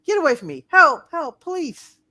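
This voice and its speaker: A woman's voice: sits high, very animated, moderate pace, pushed and bright, no echo, exaggerated voice. A woman playing a prim, wary spinster librarian in a hammed-up radio play reading. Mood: panicked.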